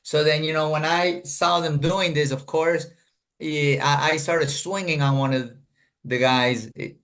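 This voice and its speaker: A man's voice: a little high, steady tone, measured pace, a bit bright. A middle-aged man from Colombia, a guest telling his life story in an earnest, rambling way, full of fillers and restarts, over a video call.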